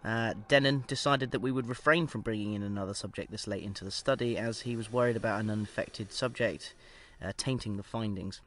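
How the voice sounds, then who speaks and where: A man's voice: fairly low pitch, brisk, few pauses, fairly bright tone, natural voice. A man playing a research doctor in an audio drama, dictating an experiment log in a clinical yet conversational, slightly hesitant way. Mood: matter-of-fact, clinical. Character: male research doctor calmly logging a sinister experiment. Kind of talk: audio drama diary monologue. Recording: clear background noise and ambience.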